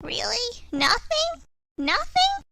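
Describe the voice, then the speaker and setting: A woman voicing a hungry, naughty wooden puppet boy in a high, whining childlike voice. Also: wildly swooping pitch, slow pace, vocal fry, pushed and bright, full character voice. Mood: whiny. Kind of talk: storybook reading.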